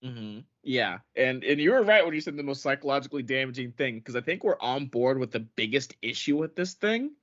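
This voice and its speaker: A man co-hosts a podcast, joking with his friend and swearing without a second thought. His voice sits at a middling pitch. The recording sounds dull and lo-fi. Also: sing-song, fairly quick, few pauses. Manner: sarcastic, swears casually. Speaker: podcast co-host. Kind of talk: casual banter.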